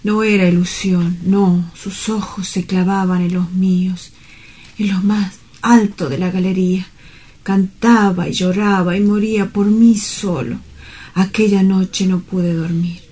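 Casting: woman to play lovelorn young male clerk, radio play, soft dark tone, unhurried, poetic and earnest. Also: medium pitch, steady tone, slightly rough. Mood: wistful. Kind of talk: dramatic radio-play monologue.

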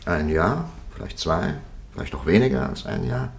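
Vintage radio drama: formal, insistent interrogator, low voice with wide dramatic swings. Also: male voice, very animated, creaky, heavily acted. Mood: formal warning. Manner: formal, measured, insistent, rhetorical. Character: stern, polished male interrogator pressing a silent prisoner. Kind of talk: 1950s German radio play acting.

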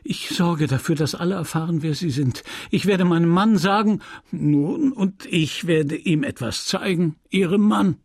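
Male narrator as merciless merchant, sly, smug, sarcastic, raised pitch, gravelly edge, literary audiobook. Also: animated, unhurried, no echo, heavily acted. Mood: threatening. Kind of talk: dramatised audiobook reading of a literary novel.

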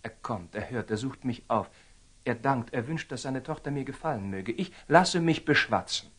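Impetuous, proud young knight, swinging wildly. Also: male voice, medium pitch, animated, normal speed, a bit bright. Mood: brooding, ashamed. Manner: theatrical, emotional, exclamatory. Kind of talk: classical stage verse in a German radio play.

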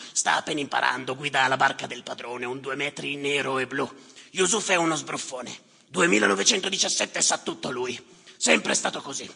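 A man playing a bitter, callous clerk in a theatrical monologue, muttering scornfully in a gravelly, raspy voice with long reverb around him. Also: bright, forceful tone, full character voice. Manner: muttering, scornful, erratic swings from low grumbles to outbursts. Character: bitter, callous, muttering male clerk tallying the drowned by number.

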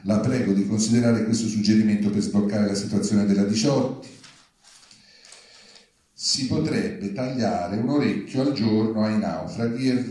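Deadpan man reading aloud, very echoey. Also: lowish voice. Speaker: reader at a public reading. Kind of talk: live reading of satirical open letters. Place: large indoor venue, live event. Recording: distant, very echoey hall.

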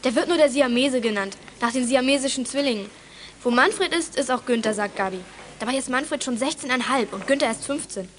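A woman playing a plucky, quick-witted teenage girl detective in a children's audio drama, pert and defiant. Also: high-pitched, a little hiss or hum, close to an everyday voice. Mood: confiding, brisk. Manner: pert, defiant, matter-of-fact.

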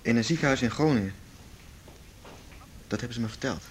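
Radio drama: dazed teenage lad, played by a man, terse and guarded, mid-pitched, faint noise. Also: fairly quick, natural voice. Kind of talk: Dutch radio play acting.

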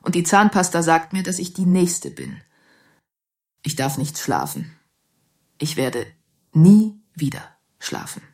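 A woman's voice: low voice, huge pitch swings, takes it slowly, gravelly, long pauses, a little mellow, full character voice. A woman playing a paranoid, sleepless spaceship crew member full of dread in a satirical radio play, dramatic and ominous.

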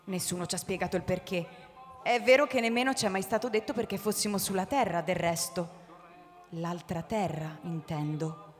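A woman's voice: on the low side, moderate pace, lightly acted. An actress playing a kindly, pious, matter-of-fact old grandmother in a radio drama, with emphatic delivery. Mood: firm, philosophical. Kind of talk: live radio drama acting. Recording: reverberant hall, faint music behind.

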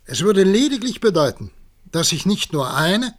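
Grave, slightly husky male president. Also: high voice, sing-song. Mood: urgent. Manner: measured, formal, oratorical. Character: grave statesmanlike male president of future humanity. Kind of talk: German radio play acting.

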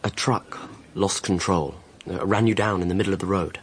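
An actor playing a calm male hospital doctor, hesitant and clinical, in a radio drama. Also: deep voice, moderate pace, close to an everyday voice. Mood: gentle, hesitant. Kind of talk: naturalistic radio drama acting.

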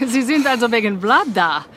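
A woman's voice: a little high, expressive, unhurried, fairly bright tone, a little hiss or hum. An actress in a German radio crime drama playing a proud, indignant married woman with a scornful, defiant manner.

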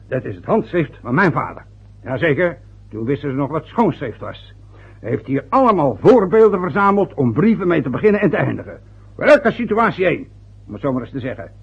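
A proud, aggrieved older father who grumbles and lectures in a husky, gravelly voice, pitched a little high. The old radio-play recording sounds dull and lo-fi. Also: full character voice. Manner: aggrieved, lecturing, rambling with hesitations. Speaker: father of a newly married son. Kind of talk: vintage radio play acting.

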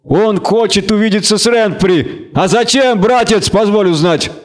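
Male reader as gang leader, raised pitch, commanding, sneering, mock-friendly, smug, audiobook villain. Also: unhurried. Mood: taunting. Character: taunting gang leader of thugs, smug, mocking man. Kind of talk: audiobook character acting.

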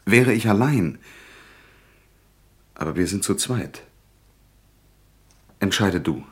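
An actor playing a grave, self-sacrificing bridegroom in a radio drama, worried and measured in his delivery. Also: fairly low pitch, lively intonation, husky, heavily acted. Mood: grave, tender. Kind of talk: German radio drama acting.

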